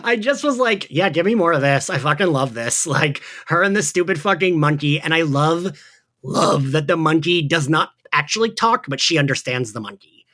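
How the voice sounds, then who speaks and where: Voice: mid-range voice, expressive, moderate pace, sharp tone. A podcast host in casual recap chat, giggly, peppering sentences with "like". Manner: giggly, frequent filler "like".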